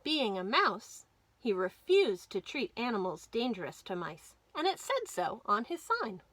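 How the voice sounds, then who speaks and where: A woman hosting a children's storytime, reading a picture book aloud with clear phrasing and emphasis for young listeners. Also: a little high, sing-song, measured pace, fairly bright tone, dry recording. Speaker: children's librarian, storytime host.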